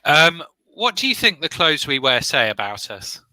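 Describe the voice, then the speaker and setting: A man's voice: a little high, big pitch swings, moderate pace, sharp tone, no echo, poor audio. A man who is a former examiner, asking practice questions and giving encouraging, step-by-step feedback over an online video call. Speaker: former IELTS examiner running speaking practice.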